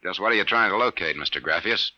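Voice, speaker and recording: An actor in a 1950s radio drama playing a brisk, sardonic, skeptical hard-boiled private detective. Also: male voice, deep voice, animated. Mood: incredulous. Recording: muffled vintage 1950s radio recording.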